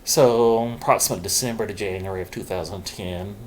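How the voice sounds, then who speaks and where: A middle-aged man, a vlogger, candidly telling his own story in a halting, reflective way, holding his naturally loud voice down low. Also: fairly even intonation, a little hiss or hum. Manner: halting and reflective, with pauses and restarts; says he is keeping his loud voice low on purpose. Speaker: vlogger sharing his mental health story.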